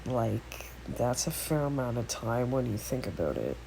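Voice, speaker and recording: A woman's voice: very deep voice, very animated, a little slow, creaky, dark tone, poor audio. This woman talks from the bottom of her range, her voice nasal and congested from a cold. She chats loosely and unscripted, drifting through asides and fillers as she builds a music tier list. A phone picks her up with a faint hum of room noise behind. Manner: rambling, chatty, many fillers and asides. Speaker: music vlogger. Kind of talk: casual unedited tier list commentary.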